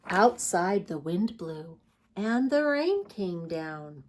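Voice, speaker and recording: A woman reading picture books aloud to preschoolers as a storytime host, expressive and putting questions to young listeners. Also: on the low side, takes it slowly, a little hiss or hum. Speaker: children's storytime host and reader. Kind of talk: read-aloud picture book narration for preschoolers.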